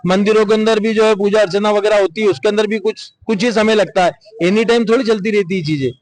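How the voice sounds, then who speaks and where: A man giving measured, explanatory answers in an interview, reasoning through his view. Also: high-pitched, fairly even intonation, darkish tone, dry recording. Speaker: member of the public interviewed.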